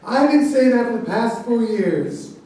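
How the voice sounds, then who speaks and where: An actor playing a boastful, pompous incumbent president who taunts debate rivals in a satirical staged reading. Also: male voice, extremely high pitch, lively intonation, a little slow, dark tone. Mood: scornful. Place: live staged reading in an echoey room. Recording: echoey room, distant mic, faint noise.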